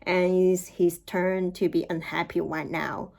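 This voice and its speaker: A woman's voice: on the low side, flat delivery, a little slow, vocal fry, a little mellow. A woman who teaches nursery school, reading a picture book aloud for young children.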